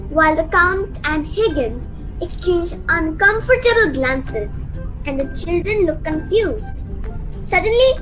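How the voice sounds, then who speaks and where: A woman's voice: very high-pitched, low-quality recording. A woman reading scripted narration for a radio play.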